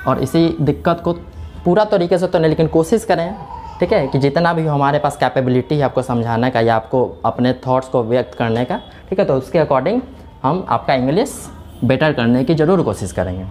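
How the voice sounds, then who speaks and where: A man's voice: medium pitch, expressive, brisk, darkish tone, slight background noise. Male teacher explaining patiently. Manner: explaining step by step, keeps saying "theek hai"; asks questions and answers them himself. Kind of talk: classroom lecture.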